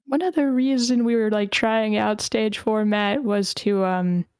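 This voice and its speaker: A woman's voice: mid-range voice, steady tone, unhurried, a little mellow, clear, resonant tone, no room sound, clean studio sound. A woman casually moderating a group feedback session as host.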